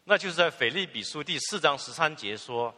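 A man's voice: fairly high pitch, expressive, fairly quick, bright, forceful tone, in a large hall. A man interpreting a sermon phrase by phrase, fluent, with filler particles and restarts.

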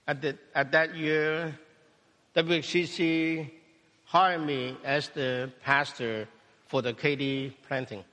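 Cantonese-native pastor giving a sermon in short, paused phrases, slightly high voice, long reverb of a church hall. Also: male voice, steady tone, bright, forceful tone. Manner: speaks in short phrases, pausing for interpretation. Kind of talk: sermon testimony with interpreter.

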